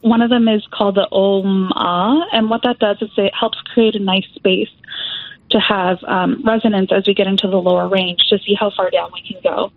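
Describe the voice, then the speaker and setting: A woman's voice: mid-range voice, steady tone, normal speed, no echo. A young woman music therapist talks through her work in an easygoing way, her speech full of small fillers. The sound is thin and tinny, like a phone line, and the voice is dry and close, with no room around it. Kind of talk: interview guest, casual explanation.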